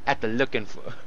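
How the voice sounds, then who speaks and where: A man's voice: mid-range voice, creaky, fairly bright tone, slight background noise. A man doing a comedic read-aloud of fan fiction, stumbling over lines and adding mocking commentary. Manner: often breaks into laughter and stumbles while reading. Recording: dull lo-fi home recording.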